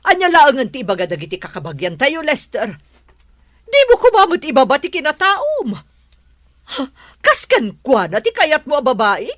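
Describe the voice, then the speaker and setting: A woman playing a stern, scornful middle-aged mother in an audio drama, sharp and interrogating. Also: high voice, huge pitch swings, moderate pace, no echo, clean studio sound, heavily acted. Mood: indignant.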